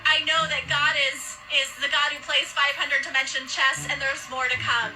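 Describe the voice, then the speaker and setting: A woman's voice: sits high, fairly even intonation, moderate pace, music in the background, low-quality recording. A woman being interviewed, speaking casually and earnestly about her beliefs.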